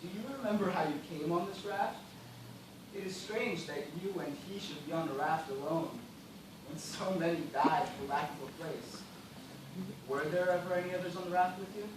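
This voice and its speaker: A man on stage playing an anguished, bitter gentleman survivor of a shipwreck, declaiming in melodramatic style. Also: a little high, normal speed, lightly acted. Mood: shaken, grim. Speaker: stage actor. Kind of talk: stage play dramatic monologue. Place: theatre stage, recorded from afar. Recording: very echoey stage, distant mic, loud noise.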